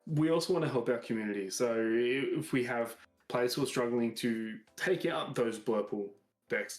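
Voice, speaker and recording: A man in his thirties with an Australian accent, co-hosting a casual card-game chat with an enthusiastic, agreeable manner. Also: mid-range voice. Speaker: podcast co-host. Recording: roomy sound with faint music.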